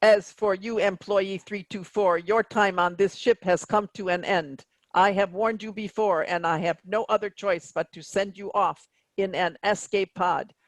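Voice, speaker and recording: A woman's voice: mid-range voice, steady tone, unhurried, few pauses, no room sound, close to an everyday voice, low-quality recording. Woman playing a stern robot starship captain, dry sound. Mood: cold, final. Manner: formal, rigid delivery. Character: stern female robot captain of a spaceship, coldly officious. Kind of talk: virtual staged play reading.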